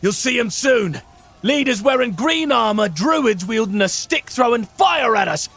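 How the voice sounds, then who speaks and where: A man plays a gruff battlefield soldier in a fantasy audio drama. His voice is pushed very high and bright, calling commands urgently with big swings in pitch. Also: animated, a little slow, soft background music, heavily acted. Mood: urgent warning. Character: gruff male soldier urging on comrades in battle.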